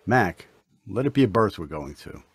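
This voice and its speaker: An actor playing a kindly, serene doctor in an old-time radio drama, speaking in an earnest, formal, uplifting way. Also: male voice, low-pitched, very animated, normal speed, slightly rough, no echo, exaggerated voice. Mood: gentle, hopeful. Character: kindly, serene, mysterious male hospital doctor.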